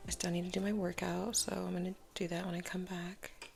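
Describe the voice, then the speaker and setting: A woman in her thirties, sleepy and just up, chatting casually in a soft whisper while doing her makeup at home. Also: fairly low pitch, little pitch movement, brisk, gravelly, faint music behind. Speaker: vlogger. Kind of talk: soft-spoken whispered get-ready-with-me vlog.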